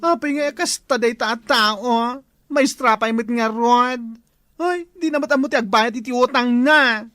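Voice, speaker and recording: A woman's voice: fairly high pitch, unhurried, a bit bright, dry recording. A woman with an Ilocano accent playing a brash, combative pork seller out collecting debts in a comedy drama. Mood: outraged.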